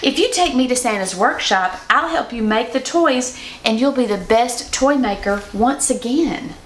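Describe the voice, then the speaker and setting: A loyal, eager little girl from a children's story, voiced by a woman. The voice is high, earnest and emphatic, in an audibly echoey room. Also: animated, moderate pace, a little hiss or hum, lightly acted. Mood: eager, friendly. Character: young girl, loyal, brave and eager to help. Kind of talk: children's storybook read-aloud.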